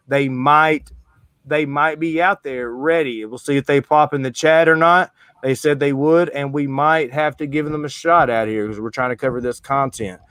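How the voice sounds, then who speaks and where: A man's voice: a little high, a bit bright, smooth, no room sound. A man hosting a live sports stream in a loose, slangy, rambling way. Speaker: host. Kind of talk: casual streaming chat.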